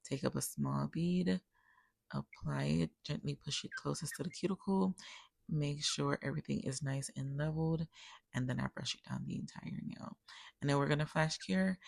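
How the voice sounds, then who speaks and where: A tired woman, a nail technician, talks viewers step by step through her work in a relaxed tutorial voice-over. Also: very deep voice, creaky, no room sound. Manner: relaxed step-by-step explaining, addresses viewers as guys. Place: recorded separately as a voice-over.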